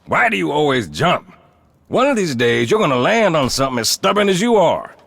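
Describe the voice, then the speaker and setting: An actor playing a gruff, wisecracking male marine sergeant major, gravelly, wry and commanding. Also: fairly high pitch, big pitch swings, a little fast, fairly bright tone, no room sound, full character voice. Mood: exasperated, concerned.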